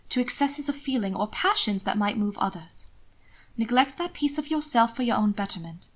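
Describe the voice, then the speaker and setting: A woman voicing a prim, guarded young gentleman who speaks in stiff, defensive period language. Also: fairly high pitch. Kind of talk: fiction read aloud, character dialogue.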